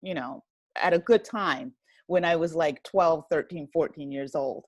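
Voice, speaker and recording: A woman's voice: deep voice, measured pace, dry recording. An American woman in her fifties from New Jersey, reflecting on her life conversationally as an interview guest. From New Jersey, United States. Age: 50s. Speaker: interview guest, writer and director. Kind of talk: conversational interview, personal reminiscence.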